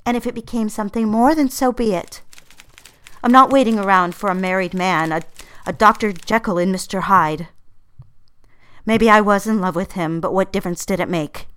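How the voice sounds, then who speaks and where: A woman's voice: medium pitch, normal speed, smooth, lightly acted. An actress voicing an earnest, ambitious young female secretary with wounded feelings in an audio drama. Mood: resolute, dreamy. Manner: expressive, earnest. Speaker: actress narrating and playing lead. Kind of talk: dramatized first-person narration and dialogue.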